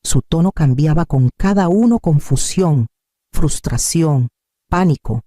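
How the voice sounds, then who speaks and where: Mellow, dark-toned man narrating a story. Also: fairly high pitch, expressive, unhurried, no room sound, clean studio sound. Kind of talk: scripted dramatic narration, first-person story.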